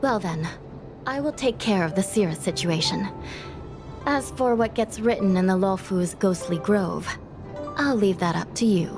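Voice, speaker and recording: A woman's voice: mid-range voice, normal speed, natural voice. A woman playing a composed, dutiful and reserved judge in an anime-style video game. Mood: calm, official. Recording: background music under the voice.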